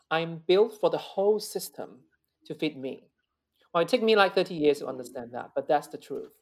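A man, a guest opera singer talking earnestly and reflectively in an interview, often restarting and repeating words. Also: a little mellow. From China. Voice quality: high male voice. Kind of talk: interview conversation.

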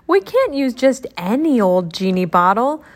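A woman's voice: a little high, big pitch swings, measured pace. A woman voicing a cheerful, earnest young girl genie, one of twin sisters in a children's storybook.

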